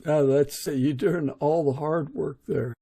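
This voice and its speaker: A man's voice: a little high, steady tone, takes it slowly, vocal fry, dark tone, slightly rough. A man hosting a podcast, introducing the show and interviewing in a conversational way. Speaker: podcast host.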